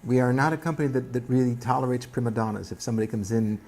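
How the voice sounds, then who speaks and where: A husky-voiced man speaking in an interview as a company founder, earnest, in halting phrases. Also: medium pitch, a little mellow. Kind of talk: documentary interview.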